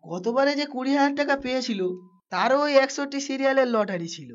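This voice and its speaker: A woman's voice: high voice, expressive, fast. A woman voicing a chatty, persuasive lottery ticket seller in a cartoon, talking in a familiar way. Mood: coaxing. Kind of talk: cartoon voice acting. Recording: dull track with music behind.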